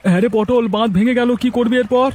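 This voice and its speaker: A man's voice: very high-pitched, fairly even intonation, quick pace, a little mellow, faint music behind. A man voicing a worried, helpful poor village farmer in cartoon dialogue. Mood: urgent, agitated.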